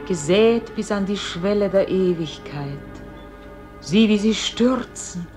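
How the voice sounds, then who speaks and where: An actress playing a solemn, gentle celestial voice that guides a soul, speaking in a measured, exalted, incantatory way in a radio play. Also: female voice, medium pitch, lightly acted. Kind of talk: solemn classic German radio play acting.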